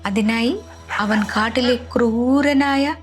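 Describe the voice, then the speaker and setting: A woman storyteller narrating a scripted children's tale in an expressive, dramatic way. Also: on the high side, slow pace, over loud music.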